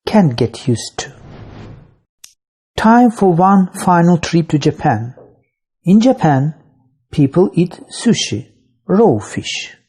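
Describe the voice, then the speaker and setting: Slow, husky grammar-lesson narrator. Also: medium pitch, sing-song, warm tone. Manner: slow, deliberate reading of examples. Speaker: English teacher.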